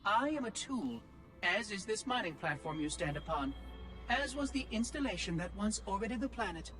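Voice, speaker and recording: An actor voicing a prim, inquisitive ancient machine oracle in a video game, precise and fussy in speech. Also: male voice, high voice, animated, normal speed, bright, forceful tone. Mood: cool, pedantic. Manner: precise, articulate, probing, fussy. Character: prim, inquisitive ancient robot oracle, male, coolly pedantic. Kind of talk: video game cutscene dialogue. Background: music.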